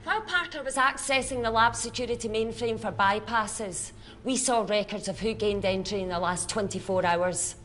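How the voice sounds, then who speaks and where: A woman's voice: mid-range voice, moderate pace, fairly bright tone, slight background noise, lightly acted. An actress playing a stern, accusing woman in a lab standoff, in naturalistic film style. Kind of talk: naturalistic live-action game film acting.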